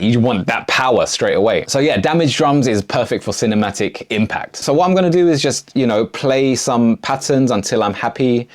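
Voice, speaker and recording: A man presenting a casual music production tutorial in an enthusiastic, slangy way. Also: mid-range voice. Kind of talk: casual video tutorial narration.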